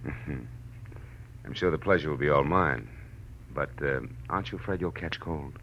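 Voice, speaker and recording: An actor playing a wry, tough American private detective in 1940s radio style, with a sardonic, slangy delivery. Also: male voice, rumbling low, normal speed, highs cut off, slight background noise. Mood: dry, probing. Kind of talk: 1940s radio acting, with first-person narration. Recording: old radio recording, faint music.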